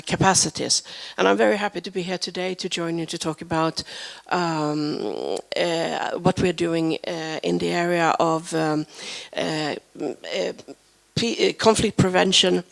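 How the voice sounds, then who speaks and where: Hoarse, gravelly woman in her fifties. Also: fairly low pitch. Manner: halting, searching for words. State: hoarse from a flu. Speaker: panel speaker. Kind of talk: conference presentation. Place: conference panel room. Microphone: handheld microphone.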